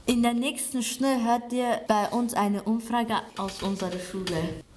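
A teenage girl co-hosts a school radio show, reading her prepared lines in a studio. Her voice is fairly high. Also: steady tone, a little slow, a little mellow, a little hiss or hum. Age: teens. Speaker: student radio presenter. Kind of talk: scripted presentation.

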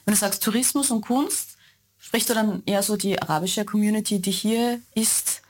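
A woman's voice: medium pitch, normal speed, a little mellow. A woman asking questions in a casual, conversational interview.

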